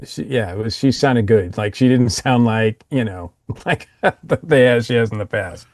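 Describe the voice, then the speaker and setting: A man's voice: fairly low pitch, normal speed, a little mellow, dry recording, clean studio sound. A man chatting casually as a podcast panelist, hesitant and often repeating his words. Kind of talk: casual chat.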